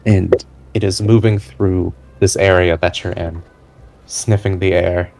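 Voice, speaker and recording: A man narrating a tabletop game as game master, improvising suspensefully with pauses in mid-sentence. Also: low-pitched, flat delivery, vocal fry, a little mellow, rough recording.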